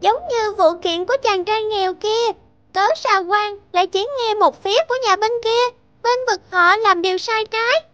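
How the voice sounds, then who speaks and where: Very high-pitched clever little rabbit judge. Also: normal speed, dry recording, muffled recording. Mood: assured, pointed. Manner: self-assured, reassuring, articulate. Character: clever, confident little rabbit judge, friendly problem solver. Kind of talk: children's fairy-tale cartoon dubbing. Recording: loud music under the voice.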